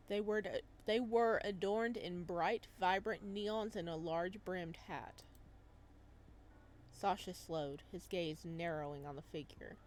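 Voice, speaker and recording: Female let's-play host, mid-pitched, chatty and playful, switching into calm read-aloud narration. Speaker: gaming video host. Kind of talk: casual let's-play commentary, reading game text aloud.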